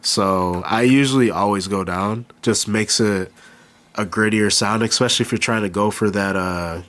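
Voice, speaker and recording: Low-voiced man, stuffy from a cold. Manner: relaxed, halting, thinks aloud while working. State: has a cold, nasal. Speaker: beatmaker demonstrating gear. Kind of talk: casual hands-on tutorial. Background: beat playing behind.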